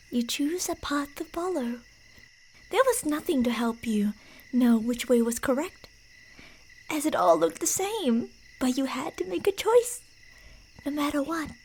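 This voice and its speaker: A woman's voice: sits high, a little mellow, low-quality recording. A woman performing ASMR horror roleplay as a creepy, delusional stalker, narrating in a playful, menacing way that slips into whispers. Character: creepy, teasing, delusional female yandere stalker narrating her prey's path.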